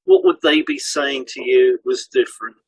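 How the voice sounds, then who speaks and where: A man's voice: mid-range voice, huge pitch swings, normal speed, a little hiss or hum, rough recording. A man co-hosting a podcast, reading scripted instructional narration. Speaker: podcast co-host, hypnotherapist.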